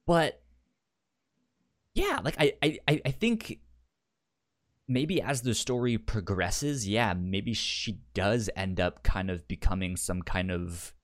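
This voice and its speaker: A man's voice: on the low side, expressive, slow pace. A man co-hosting a book club podcast, chatting casually and often stammering on the first sound of words. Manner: stammers on word starts, repeats words. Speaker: podcast co-host.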